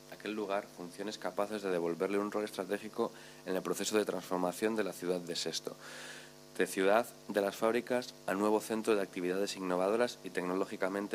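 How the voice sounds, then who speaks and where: Deep-voiced man reading a paper fast. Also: slight background noise. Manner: formal, steady reading. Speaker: conference speaker. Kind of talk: reading a prepared paper aloud.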